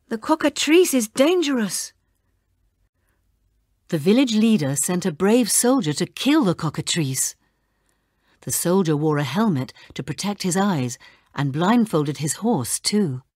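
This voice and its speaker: Female storybook narrator for children: unhurried, very expressive, slightly husky tone. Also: lowish voice, no room sound, studio quality.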